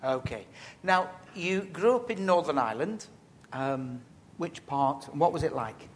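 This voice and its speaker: A man interviewing a guest, asking halting questions with friendly teasing jokes. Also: on the high side, lively intonation, husky.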